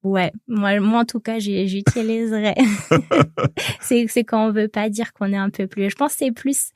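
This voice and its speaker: A woman in her twenties from France, reading French lines and adding grammar notes in a relaxed way. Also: medium pitch, no echo, clean studio sound. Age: 20s. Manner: slow, relaxed, with fillers and light laughs. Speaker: co-host, native French speaker. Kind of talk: bilingual language lesson.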